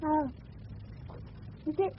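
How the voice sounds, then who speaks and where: A woman playing a bored, dismissive English teenage girl who talks in curt, coy replies in a radio drama. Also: drawn-out words. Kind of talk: 1970s radio drama dialogue. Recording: tinny, faintly noisy old radio broadcast.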